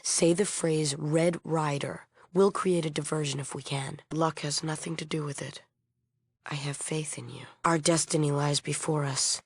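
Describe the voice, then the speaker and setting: An actress playing a solemn, idealistic leader of a post-apocalyptic peace movement in a video game, earnest and guarded. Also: female voice, low voice, steady tone, moderate pace, husky, no echo. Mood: resolute, concerned. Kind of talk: acted video game dialogue.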